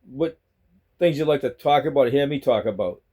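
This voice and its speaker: A man's voice: medium pitch, expressive, normal speed, warm tone, husky. Rambling middle-aged man with a Boston accent. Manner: chatty, rambling, repeats himself. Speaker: vlogger. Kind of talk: rambling casual talk to camera. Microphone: selfie stick phone.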